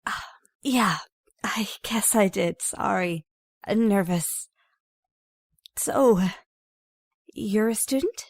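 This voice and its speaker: A woman's voice: mid-range voice, takes it slowly, long pauses, no room sound, clean studio sound. A young woman playing a nervous, hesitant teenage newcomer in an audio drama. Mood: nervous, polite. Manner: hesitant, reflective. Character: nervous teenage girl newly arrived at a mutant school.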